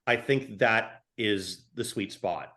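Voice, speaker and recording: Man talking haltingly in a casual interview. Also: fairly low pitch, bright, forceful tone. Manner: hesitant, repeats words and stammers as thoughts form. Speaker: podcast guest, screenwriter and audio drama writer.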